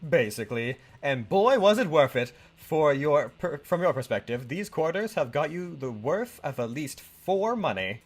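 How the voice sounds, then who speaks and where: A young man chats his way through a game with friends, his voice bouncing lively up and down. He rambles and sounds unsure of himself, and he reads the on-screen story text aloud. Faint music plays underneath. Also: fairly high pitch, normal speed, hardly any pauses. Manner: rambling, unsure, laughs a lot. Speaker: let's play host. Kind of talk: casual game commentary and reading game text aloud.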